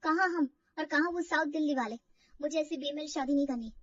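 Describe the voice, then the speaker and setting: A woman's voice: high-pitched, fairly quick, a bit bright, no room sound, recorded from a distance, dull audio. A woman voicing an insecure young woman who is fretful and defensive in a dramatized story. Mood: defiant. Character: insecure, self-doubting young woman from Old Delhi.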